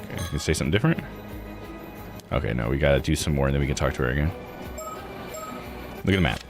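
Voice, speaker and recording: A man's voice: a bass voice, fast talker. A man doing casual let's play commentary, thinking aloud and reading game text out. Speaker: video game streamer. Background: game music.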